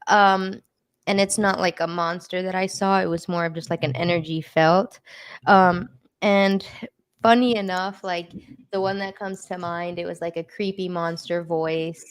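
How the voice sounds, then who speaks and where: A woman with a slightly low, clear and smooth voice talks casually, as if sharing a personal story with a group. Her delivery is informal and conversational, and the sound is close and dry. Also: steady tone, measured pace, no room sound. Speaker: participant sharing personal experiences in a group discussion.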